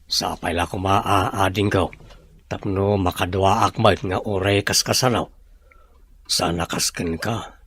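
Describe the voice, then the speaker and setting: Casting: man playing tired, thankful Ilocano elder brother, soft-spoken and low-pitched, subdued delivery. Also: fairly even intonation, slow, breathy edge, heavily acted. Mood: weary, grateful. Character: low-voiced, weary, grateful older brother figure. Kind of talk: audio drama acting.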